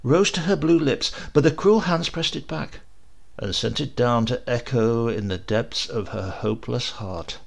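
Male audiobook narrator for horror fiction: medium pitch, noticeable vocal fry, suspenseful, theatrical phrasing that moves between emphasis and hush. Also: a little slow. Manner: theatrical, suspenseful delivery with emphatic swells and hushed drops. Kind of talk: dramatic horror story narration.

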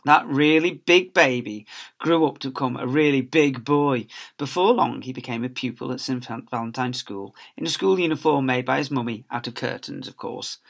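A man's voice: medium pitch, normal speed, vocal fry. A man in his fifties reads a children's story with expression, like a teacher to a class. He is recorded indoors at desk distance in a small office. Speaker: teacher reading a story to pupils. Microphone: laptop or webcam at desk distance.

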